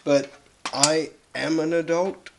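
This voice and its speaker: A young man with a crackly voice from a cold, casually narrating a teardown video in a carpeted room, halting and clearing his throat often. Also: on the high side, steady tone. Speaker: YouTube host.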